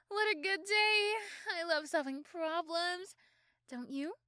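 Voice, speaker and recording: A woman voicing a possessive, protective teenage girlfriend in an ASMR roleplay, doting and intense, with dramatic pauses and repeated words. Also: very high voice, pushed and bright, dry recording, studio quality, voice pushed up, heavily acted. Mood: smug, sly cheer. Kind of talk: ASMR roleplay acting addressed to the listener.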